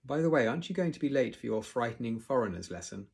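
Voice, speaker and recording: A man voicing a gentle, sad old Viking man giving kindly counsel in a storybook. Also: mid-range voice, very animated, fast talker, gravelly, slightly rough, voice pushed up, full character voice.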